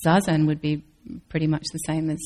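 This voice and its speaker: Woman Zen teacher, low flat voice with husky fry, thoughtful and searching answers. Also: fairly quick. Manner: thoughtful, searching, with restarts and soft laughs. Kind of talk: dharma talk Q&A answers.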